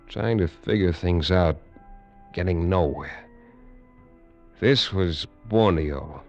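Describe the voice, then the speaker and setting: An actor playing a tough, wry American lawman in a 1940s radio drama, narrating in terse, measured hardboiled style. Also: low-pitched. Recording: dull, noisy vintage radio recording.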